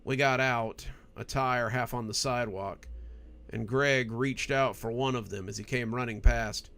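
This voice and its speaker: A man with a gravelly voice, hosting and narrating scripted horror stories. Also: medium pitch, fairly even intonation, a little slow, a bit bright. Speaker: horror story narrator and channel host.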